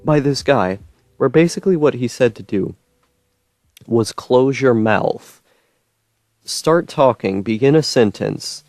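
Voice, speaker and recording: A young man talking to camera in a rambling monologue with a droning, monotone voice, full of ums, restarts and frustrated swearing. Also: mid-range voice, slow pace, pauses often, no echo, studio quality, faint music behind. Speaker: YouTuber. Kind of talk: personal monologue.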